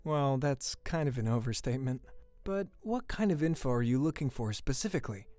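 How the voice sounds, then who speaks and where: A man's voice: mid-range voice, animated, fairly quick, warm tone, no echo, faint music behind, close to an everyday voice. A man voicing a hesitant, deferential male student informant in a comic dub, casual and hedging.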